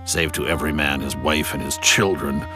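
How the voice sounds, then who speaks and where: Deep, wicked, grudging soldier. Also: male voice, fairly even intonation, normal speed, over music. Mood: defiant, grudging. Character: wicked grudging ancient soldier, selfish and defiant. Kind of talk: dramatized scripture acting.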